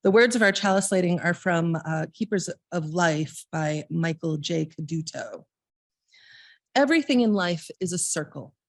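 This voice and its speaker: A woman with a gravelly edge to her slightly low voice leads a service as a lay worship leader. She reads and gives informal directions in an unhurried, measured manner. The sound is dry and close. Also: expressive, no room sound. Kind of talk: informal service leading and read-aloud readings.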